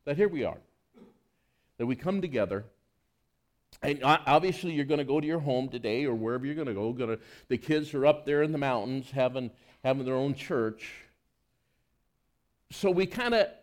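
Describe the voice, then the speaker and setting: A man's voice: a little high, animated, moderate pace, darkish tone. A male pastor giving a conversational sermon, reading scripture and asking the congregation rhetorical questions.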